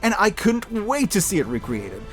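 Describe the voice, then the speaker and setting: A man in his thirties giving an opinionated scripted review commentary, sarcastic, animated and emphatic in his delivery. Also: high-pitched, moderate pace, soft background music. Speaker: video host reviewing films.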